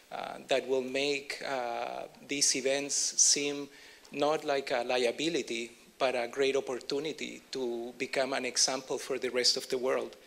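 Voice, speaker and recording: Gravelly-voiced chilean man giving a keynote. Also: mid-range voice, a bit bright. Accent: Chilean Spanish. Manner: measured, filler-heavy delivery. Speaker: keynote speaker. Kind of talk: conference keynote talk.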